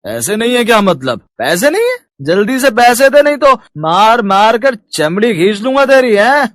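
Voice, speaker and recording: A man's voice: sits high, big pitch swings, moderate pace, a bit bright, dry recording, studio quality. A man playing a bullying alcoholic husband and father in a melodramatic devotional story, coarse and threatening as he barks demands. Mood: angry, threatening.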